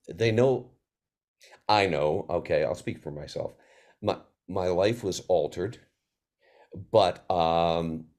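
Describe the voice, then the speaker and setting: A man in a reflective panel discussion over a video call, halting and ruminative, posing rhetorical questions as he thinks aloud. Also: low-pitched, dark tone. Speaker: theater panelist.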